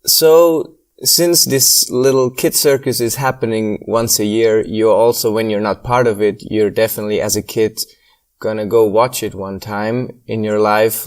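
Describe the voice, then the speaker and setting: A man in his thirties from Switzerland, a circus acrobat being interviewed, speaking English with hesitant pauses and slightly phrasing. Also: medium pitch. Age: 30s. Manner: hesitant, many ums, slightly non-native phrasing. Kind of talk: casual interview conversation.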